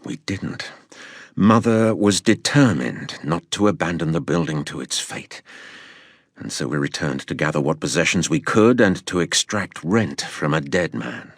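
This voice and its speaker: A man playing a grave narrator who recounts his boyhood adventure in measured, literary storytelling for an audio drama. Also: very deep voice, expressive, dry recording, studio quality, full character voice. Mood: grave, suspenseful.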